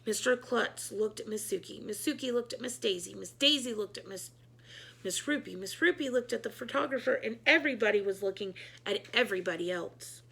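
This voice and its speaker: Female storyteller for kids' read-aloud: expressive, forceful bright tone, creaky. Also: mid-range voice, fairly quick. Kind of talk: expressive children's book narration.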